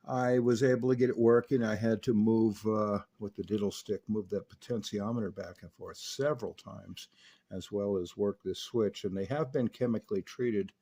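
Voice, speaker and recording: A man's voice: lowish voice, dark tone. A man giving an unscripted, hands-on technical demonstration of equipment, explaining each step methodically. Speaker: radio restorer demonstrating equipment.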